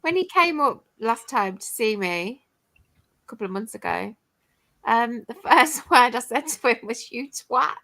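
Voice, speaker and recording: A woman's voice: on the high side, animated, measured pace, vocal fry, fairly bright tone, no room sound. A woman in her forties from the UK chatting casually on a live stream, laughing often and swearing now and then. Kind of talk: casual chat.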